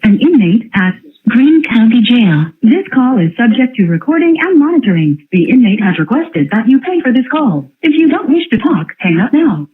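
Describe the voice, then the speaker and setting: A woman's automated voice reading scripted phone system announcements on a tinny line, slightly rough-edged. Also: a little high, big pitch swings, normal speed.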